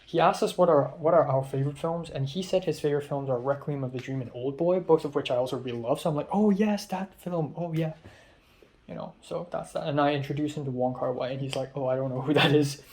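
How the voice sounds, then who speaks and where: A man's voice: fairly high pitch, quick pace, a little mellow, slight background noise. Chatty young man from Hong Kong. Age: young adult. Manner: rambling, chatty, many ums and restarts. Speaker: film student. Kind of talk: casual vlog recap.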